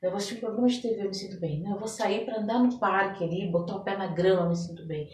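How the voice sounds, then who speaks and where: A woman's voice: medium pitch, steady tone, very fast, a little mellow, slight background noise. A Brazilian woman with a São Paulo accent, speaking reflectively as a podcast guest and repeating words for emphasis in a conversational interview. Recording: roomy echo, distant microphone.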